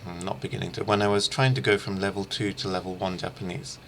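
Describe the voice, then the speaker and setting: A middle-aged man giving an informal instructional screencast as a language teacher, haltingly thinking aloud. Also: deep voice, level tone, a bit bright, slight background noise. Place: small room lined with shelves, indoors.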